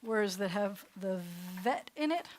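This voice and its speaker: A woman rabbi teaching a beginners' Hebrew class in a loose, chatty way, often restarting her sentences and sounding words out slowly. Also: medium pitch, expressive, slight background noise. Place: classroom or congregation hall.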